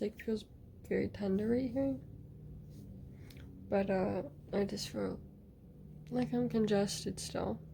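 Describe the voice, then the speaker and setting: A woman's voice: medium pitch, vocal fry, dark tone, a little hiss or hum. A woman talking in a casual video diary, hesitant and rambling with many fillers, sometimes dropping to near-whispers. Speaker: vlogger.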